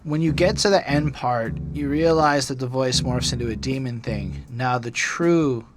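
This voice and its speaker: A man's voice: medium pitch, normal speed, background noise. A man co-hosting a music podcast, talking casually and analytically in a rambling, opinionated way. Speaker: podcast co-host reviewing music. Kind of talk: casual opinionated album discussion. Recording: music playing behind the voice.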